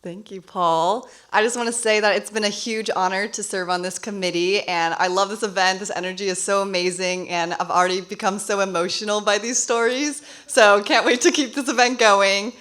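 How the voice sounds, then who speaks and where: A woman's voice: medium pitch, steady tone, normal speed, few pauses, a bit bright. A woman speaking as a contest judge at a live event, informal, heartfelt, conversational and effusive.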